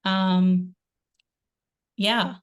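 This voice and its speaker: A hoarse woman taking part in an online discussion, speaking hesitantly with many fillers. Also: mid-range voice, little pitch movement, drawn-out words. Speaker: participant. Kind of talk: discussion question.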